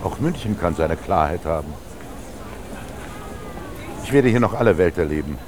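A man's voice: low voice, expressive, moderate pace, background noise. An actor playing a lonely, reflective man narrating his thoughts in a radio drama, with a dry literary delivery. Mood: pensive. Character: lonely young scribe, musing narrator wandering through rainy Munich. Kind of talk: introspective radio drama monologue.

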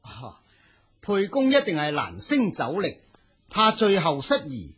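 A man's voice: on the high side, wildly swooping pitch, measured pace, fairly bright tone, breathy edge, heavily acted. A man playing a shrewd, deferential strategist in a Chinese historical radio drama, speaking in careful courtly phrases. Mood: deferential, smoothing over. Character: shrewd, deferential male strategist at a warlord's banquet.

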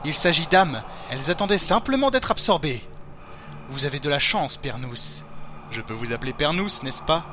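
An actor playing a fanatical, smug cult leader in a French fantasy audio drama, with a pompous, ceremonial and mockingly courteous manner. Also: male voice, a little high, lively intonation, moderate pace, noisy background, lightly acted. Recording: music under the voice.

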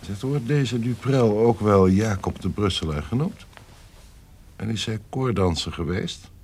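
An actor playing a scheming, lecherous courtier in an audio period drama, insinuating and savouring his words, often dropping to hushed tones. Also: unhurried, a little hiss or hum. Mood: probing, suspicious. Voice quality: deep, gravelly. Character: scheming, lecherous male courtier and secret conspirator. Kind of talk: audio drama acting in Dutch period piece.

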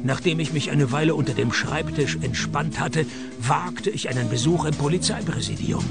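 Wry male private eye, fairly high gravelly voice, sardonic radio play delivery. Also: normal speed, over loud music. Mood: dry narrating. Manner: deadpan, sardonic. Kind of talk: radio play dialogue and first-person narration.

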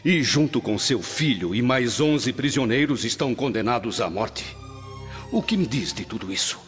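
A man plays a stern, honourable Roman nobleman in a radio drama, declaiming in formal, emphatic phrases. His mid-pitched voice rings in a long reverb over background music, with anguish under the pride. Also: animated, moderate pace, fairly bright tone, lightly acted. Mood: earnest, pressing. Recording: dull lo-fi audio, long reverb, music behind.

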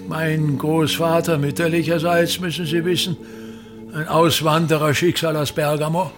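A man's voice: fairly high pitch, gravelly. An actor in a radio play as a pompous, self-pitying older theatre director and father, grandiloquent and complaining, repeating himself. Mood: scornful, boastful.

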